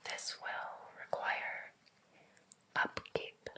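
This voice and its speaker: A woman playing a possessive, jealous hairdresser in a whispered ASMR roleplay, speaking softly in a slow, deliberate, controlling manner. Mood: stern, controlling. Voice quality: soft, breathy whisper.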